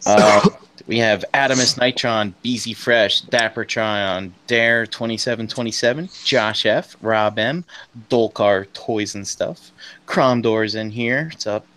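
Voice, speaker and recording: A man's voice: lowish voice, a little slow, a bit bright, no echo. A man co-hosting a casual podcast, reading out viewer names in a laid-back way. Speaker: podcast co-host.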